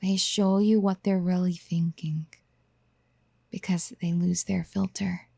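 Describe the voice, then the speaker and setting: A woman playing a possessive, motherly yandere in a soft-spoken ASMR roleplay, gentle and coaxing, often slipping toward a breathy whisper. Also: on the low side, little pitch movement, takes it slowly, no room sound, studio quality, heavily acted. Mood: soothing, persuasive. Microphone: close studio mic.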